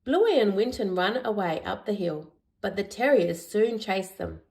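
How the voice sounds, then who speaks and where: A woman narrating a children's picture book as an educator, in a deliberate, expressive storytelling manner. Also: mid-range voice, creaky. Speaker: educator reading picture books aloud.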